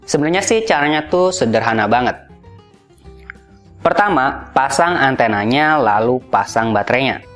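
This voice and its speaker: A man's voice: medium pitch, expressive, normal speed, fairly bright tone. A man hosting a gadget review, narrating in a casual, explanatory style. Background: background music.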